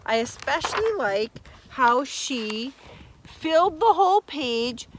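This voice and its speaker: An older woman reads a children's story in a high voice. Her delivery is slow, deliberate and theatrical, with dramatic emphasis on key words. The recording has clear noise behind her. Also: very animated. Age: older, grandmother. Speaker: storyteller and primary teacher. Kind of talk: dramatic children's story reading with personal asides.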